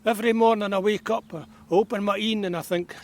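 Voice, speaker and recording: A man's voice: high voice, fairly even intonation, a little fast, lightly acted. An actor playing a grumbling, wry eighty-year-old Scottish man in an audio drama, speaking broad dialect.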